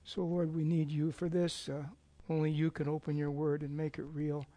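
An older man preaching in a church with a slightly high, hoarse voice. He talks in a rambling, self-deprecating way, full of fillers and restarts, and wears a clip-on mic. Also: completely flat delivery, normal speed, dark tone. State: losing his voice. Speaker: lay preacher. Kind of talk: informal sermon with opening prayer.

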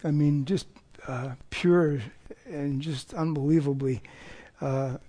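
Older guy, hoarse, losing his voice, rambly casual sermon, kinda high pitched. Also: steady tone, takes it slowly, dark tone. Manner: rambling, self-deprecating, with frequent fillers and restarts. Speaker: lay preacher. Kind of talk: informal sermon with opening prayer.

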